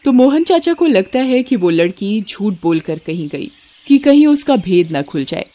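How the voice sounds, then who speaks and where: Mellow woman narrating a story. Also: medium pitch, big pitch swings, moderate pace. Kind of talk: scripted children's story narration.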